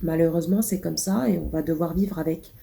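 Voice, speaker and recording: A woman's voice: low-pitched, brisk, creaky, warm tone, slight background noise. A woman vlogging casually while sick, with a blocked nose and a cough, rambling through many hesitations. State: congested, stuffy nose. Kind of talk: casual vlog chat.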